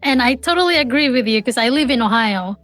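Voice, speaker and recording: A woman's voice: on the high side, lively intonation, fast talker, a bit bright, faint music behind. A woman from the Philippines hosting a podcast interview over a video call, chatty and rambling. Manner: chatty, rambling, with repeated restarts. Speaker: podcast host.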